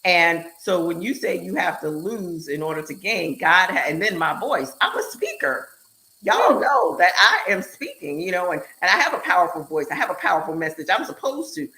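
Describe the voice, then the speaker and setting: Host, female, bright tone, animated and emphatic, quick bursts. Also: lowish voice, rough recording. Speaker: show host and speaker. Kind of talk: casual chat on a live online show.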